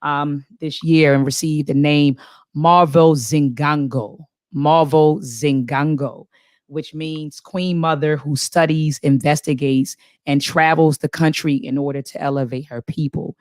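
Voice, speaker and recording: A woman in her forties from Baltimore, a professor, telling her story as an interview guest in earnest, lively speech with many fillers. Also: low voice, a little slow, dry recording, clean studio sound. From Baltimore, USA. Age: 40s.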